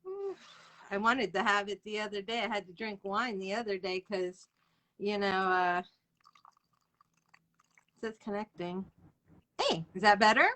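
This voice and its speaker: A woman's voice: mid-range voice, fairly even intonation, measured pace, pauses often, bright, forceful tone. A woman hosting an author interview livestream, chatting casually and informally with viewers as she goes.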